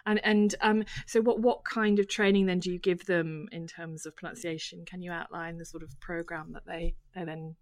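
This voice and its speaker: A woman's voice: lowish voice, little pitch movement, normal speed, few pauses, no room sound. A woman interviewing, asking questions with hesitant fillers.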